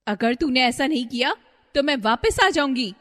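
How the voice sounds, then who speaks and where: A woman's voice: high-pitched, animated, normal speed, long reverb tail, pitched above the performer's own voice. A woman voicing a defiant, scheming young daughter-in-law in a cartoon story. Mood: fierce. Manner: sharp, shouting.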